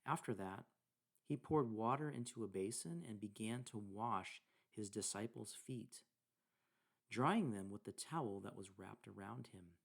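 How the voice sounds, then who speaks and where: A man leading a guided meditation, speaking deliberately in short phrases with pauses between them. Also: lowish voice, vocal fry. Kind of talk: guided meditation narration with scripture reading.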